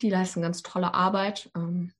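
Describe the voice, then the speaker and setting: A woman's voice: on the low side, flat delivery, normal speed. Woman, nineteen, from Hamburg, student, chatty with fillers, remote call. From Hamburg, Germany. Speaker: student, podcast guest. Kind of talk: casual podcast talk.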